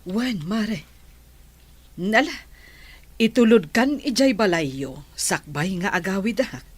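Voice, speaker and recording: A woman's voice: mid-range voice, expressive, slow pace, husky. Lady voicing a helpful family friend, plain mid voice, giving advice. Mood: earnest. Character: helpful middle-aged woman, family friend. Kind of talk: Ilocano audio drama.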